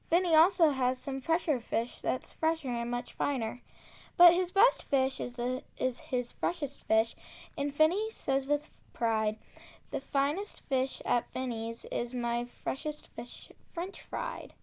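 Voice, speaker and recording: A woman reading a children's tongue-twister book aloud, enunciating carefully and deliberately. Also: sits high, a little mellow. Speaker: storybook reader.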